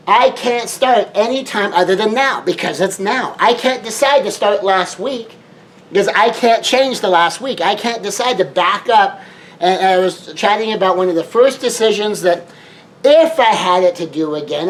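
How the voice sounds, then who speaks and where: A man's voice: high-pitched, rough recording. A man from Southern California, a life coach, giving a motivational talk with repetitive, emphatic phrasing and shifting tempo.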